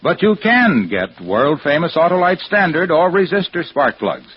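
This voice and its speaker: A man delivering a polished, scripted 1950s radio sales pitch as a commercial announcer. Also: sing-song, moderate pace, husky. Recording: muffled old radio, faint noise.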